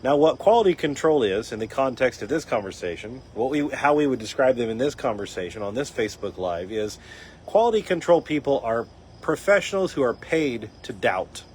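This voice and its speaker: A man hosting a faith and recovery live stream, his voice gone a little raspy, at a middling pitch. He talks in an earnest, teaching way, often stopping to restart his sentences. A faint hiss sits behind him. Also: expressive, brisk, a little mellow. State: raspy voice from dryness. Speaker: host. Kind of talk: casual talk on a live stream.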